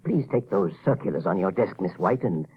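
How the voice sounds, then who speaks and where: An actor playing a shifty, nervous professor in an old radio mystery, stammering and blustering. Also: male voice, low-pitched, animated, a little fast, slightly rough, full character voice. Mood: flustered. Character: shifty, nervous, crooked male professor with a guilty secret. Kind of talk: 1940s radio mystery acting. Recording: noisy, tinny old radio recording.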